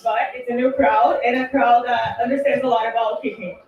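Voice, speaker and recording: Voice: mid-range voice, fairly even intonation, a little fast, slight background noise. A reporter asking a friendly, chatty question at a press conference. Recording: dull, echoey, distant sound.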